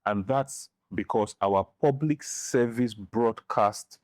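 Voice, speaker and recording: A middle-aged man with a Nigerian accent giving opinions in a podcast interview, speaking deliberately and repeating phrases for emphasis. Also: fairly low pitch, a little mellow, no room sound, studio quality. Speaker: interview guest, film director and writer.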